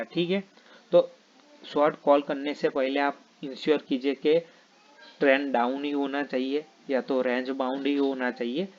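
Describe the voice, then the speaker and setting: Halting man teaching trading, muffled audio. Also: medium pitch, darkish tone. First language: not Hindi. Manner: halting, many fillers and restarts, repeats "ठीक है". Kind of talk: explaining options trading lesson.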